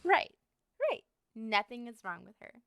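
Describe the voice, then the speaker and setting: A woman's voice: on the high side, very animated, measured pace, fairly bright tone, smooth, no room sound, studio quality, full character voice. A woman playing a lovestruck, obsessive young woman in an ASMR roleplay, talking to the listener in a coy, rambling, hesitant way. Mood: pointed, defensive.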